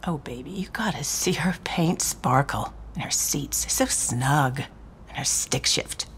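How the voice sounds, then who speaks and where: An actress playing a seductive middle-aged crime fixer in a video game, breathy, with a purring, teasing delivery. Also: female voice, rumbling low, expressive, unhurried, fairly bright tone, whispered, heavily acted. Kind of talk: cinematic video game acting.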